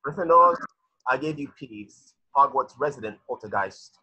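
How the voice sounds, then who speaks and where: A man's voice: mid-range voice, normal speed, pushed and bright, husky. A man playing a self-important teenage school prefect, officious and matter-of-fact, reading a script on lo-fi audio. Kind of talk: table read of a film script.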